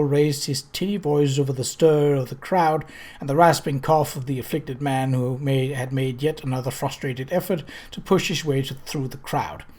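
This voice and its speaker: A storyteller reading a novel as an audiobook, in a measured, literary narrative style. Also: medium pitch, darkish tone. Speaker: audiobook reader.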